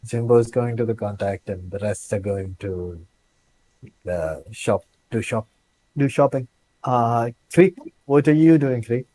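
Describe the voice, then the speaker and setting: A man's voice: medium pitch, a little slow, warm tone, a little hiss or hum, rough recording. Hesitant man in mid-range voice. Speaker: tabletop game player. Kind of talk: casual chat.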